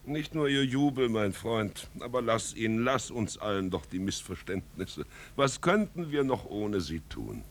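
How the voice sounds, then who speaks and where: A man's voice: on the low side, very animated, measured pace. An actor playing a mocking, philosophical confidant of a nobleman in a 1950s radio play, speaking in a wry, familiar way. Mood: gently philosophical. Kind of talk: 1950s German radio drama acting.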